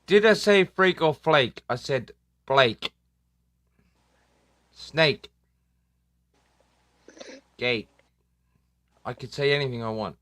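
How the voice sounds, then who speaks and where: A man's voice: mid-range voice, very animated, takes it slowly, pauses often, fairly bright tone, dry recording. A man hosting a casual live stream, joking and self-deprecating, swearing now and then. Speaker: livestream host. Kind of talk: casual livestream chat.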